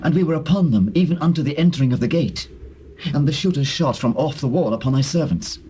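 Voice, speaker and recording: Man playing grave ancient messenger, British, dark mellow tone, medium pitch, solemn, faintly hissy tape. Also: animated, quick pace, close to an everyday voice, poor audio. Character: grave battlefield messenger reporting to his king. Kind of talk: dramatized scripture acting in archaic English. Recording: hissy old cassette tape with faint ambience.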